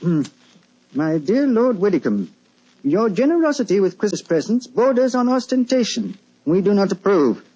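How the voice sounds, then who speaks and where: A man's voice: high-pitched, big pitch swings, moderate pace, warm tone. A man playing a courteous, anxious, well-off lord with a British accent, earnest and slightly hesitant, in an old radio drama. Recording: muffled, lo-fi old radio recording.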